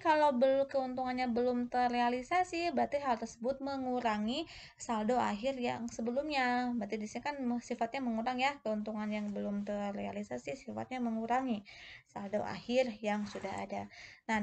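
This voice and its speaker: Woman, fairly high pitch, gravelly fry, informal tutorial, explanatory question-and-answer delivery. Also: darkish tone. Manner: explanatory, with rhetorical questions and conversational tags. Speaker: accounting tutor presenting a video lesson.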